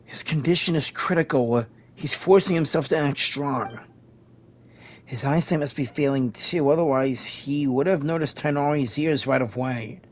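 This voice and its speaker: Guy doing loyal game sidekick, kinda high voice, blunt, excitable, music behind. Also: normal speed, slight background noise, natural voice. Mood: concerned. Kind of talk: video game voice acting.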